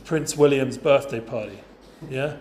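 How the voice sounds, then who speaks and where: A man, speaking to a group of students in a loose, chatty way full of asides. His voice sits at a middle pitch. It is recorded in a roomy, echoey space over a faint hiss. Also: a little slow, darkish tone. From North London, England. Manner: chatty, informal, lots of fillers and asides. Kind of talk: casual talk to a youth group.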